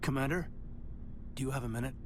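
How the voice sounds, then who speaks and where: A man acts as a young male soldier in a space video game. His voice is medium in pitch, earnest and thoughtful, with a hesitant, apologetic edge. A low bed of ambient noise sits behind him. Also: quick pace, natural voice. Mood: concerned, candid. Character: earnest, reserved young male military officer. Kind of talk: video game dialogue acting.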